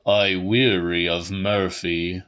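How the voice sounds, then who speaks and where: A man's voice: sits low, level tone, slow, a bit bright. A man voicing a crotchety, impatient old man, blunt and weary, in an audiobook. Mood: weary impatience.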